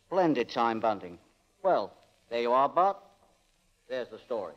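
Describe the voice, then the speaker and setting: An actor playing a blandly evasive, unflappable director in a dry, unhurried 1940s radio manner. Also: male voice, mid-range voice, big pitch swings. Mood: dry, dismissive. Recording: dull 1940 radio broadcast recording.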